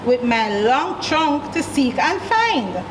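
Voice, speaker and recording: A woman's voice: high voice, sing-song, slow, heavily acted. A Jamaican-accented woman voicing a proud, threatening male pig moneylender in a children's story, demanding and emphatic. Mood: boastful. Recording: roomy, distant mic, noisy background.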